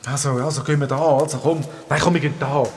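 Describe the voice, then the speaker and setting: A man's voice: fairly high pitch, fairly even intonation, quick pace, a little hiss or hum, natural voice. A man playing a blunt, impatient boy adventurer with a Swiss German accent in a children's audio drama. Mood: uneasy, puzzled. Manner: blunt, exclamatory, repeats words for emphasis. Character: Chlöpf, bossy, impatient, hot-tempered boy adventurer.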